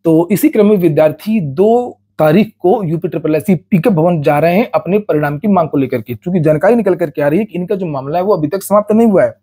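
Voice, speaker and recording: A man with a slightly high, husky voice reads news notices aloud. His tone is soft-edged and mellow, and he explains each item plainly and matter-of-factly as a presenter. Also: fast talker, hardly any pauses. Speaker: exam and recruitment news presenter.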